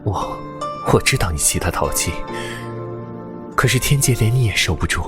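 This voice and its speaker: An actor playing a tender, hesitant, lovelorn immortal nobleman in a Chinese audio drama, speaking in halting, breathy, earnest phrases. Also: lowish voice, lively intonation, close to an everyday voice. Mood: pleading, tender. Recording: loud sad new-age music behind.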